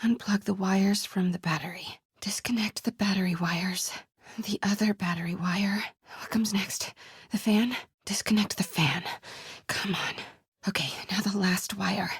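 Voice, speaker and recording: A woman playing a determined young reporter in a video game, breathy and often hushed, with a wry way of talking to herself. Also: mid-range voice, fairly even intonation, unhurried, no echo, studio quality. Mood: nervous, concentrating. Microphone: close, dry studio mic.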